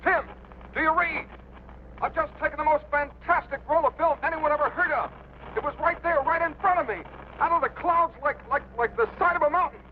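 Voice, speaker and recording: An actor playing a panicked pilot calling in by radio with frantic exclamations in a 1950s drama, on a muffled vintage recording. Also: male voice, very high voice, a little fast, a little hiss or hum. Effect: radio transmission. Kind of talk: 1950s television drama acting.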